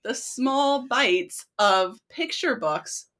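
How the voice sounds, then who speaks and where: A woman's voice: fairly high pitch, animated, extremely slow, fairly bright tone. A middle-aged woman hosting a podcast, chatting informally and candidly with a self-deprecating way of telling stories. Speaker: podcast host. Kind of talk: casual chat, personal storytelling.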